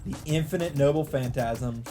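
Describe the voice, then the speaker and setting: Let's player, mid-pitched voice, dramatic visual novel read with casual asides, music clearly behind. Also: normal speed, mellow.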